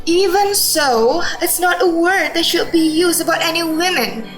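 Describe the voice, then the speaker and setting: A woman's voice: very high-pitched, a little slow, soft background music, rough recording. A woman playing an earnest, probing, reproachful wife in a radio drama. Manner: earnest, insistent.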